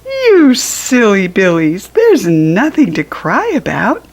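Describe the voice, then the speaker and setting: A woman voicing a friendly red squirrel who gently chides and reassures in a children's storybook. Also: medium pitch, wildly swooping pitch, slow, heavily acted. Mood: gently chiding, reassuring.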